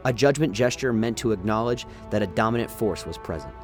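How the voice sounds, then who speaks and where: Low-voiced man narrating over music. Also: lively intonation, fairly quick. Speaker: narrator, lore reader. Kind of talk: dramatic scripted narration.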